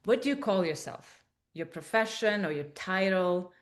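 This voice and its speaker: A woman with an Estonian accent leads a webinar from a room with a small group. Her voice sits on the low side for a woman, and she speaks to the audience directly, asking them to join in. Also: lively intonation, moderate pace. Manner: warm and inviting, addresses audience directly. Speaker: coach and guest speaker. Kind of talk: webinar presentation.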